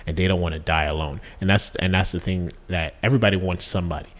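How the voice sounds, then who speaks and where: Low-voiced man rambling in a reverberant room. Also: quick pace. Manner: rambling, repeats words, fillers, animated when asking questions. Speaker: podcast co-host. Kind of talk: casual chat.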